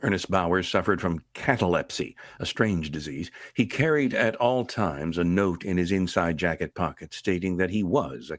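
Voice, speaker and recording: A man playing a grave, matter-of-fact storyteller, narrating a vintage radio suspense tale in a formal, measured way. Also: low-pitched, no echo, clean studio sound, lightly acted. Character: grave, matter-of-fact male storyteller reading an accident report.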